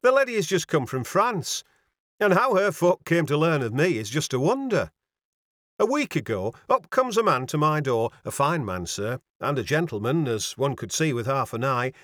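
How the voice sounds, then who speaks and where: Man as a kindly, fretful Yorkshire landlady for a storybook; exclaiming, deferential dialect, highly animated. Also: normal speed, no echo, studio quality. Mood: chatty, wondering. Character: fussy, kindly Yorkshire landlady, easily alarmed.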